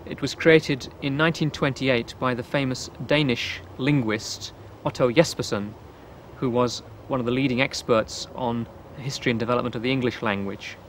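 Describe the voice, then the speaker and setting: A man's voice: medium pitch, fairly even intonation, normal speed, slight background noise. Young man explaining, outdoors. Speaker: interviewee. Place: park outdoors.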